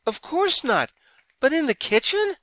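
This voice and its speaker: An actor playing a good-natured, exasperated young son in an audio drama. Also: male voice, extremely high pitch, sing-song, unhurried, sharp tone, no echo, full character voice. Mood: grumbling.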